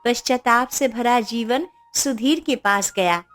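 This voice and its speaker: A woman's voice: on the high side, measured pace, fairly bright tone, soft background music. A woman narrating a children's moral story in a scripted storytelling style.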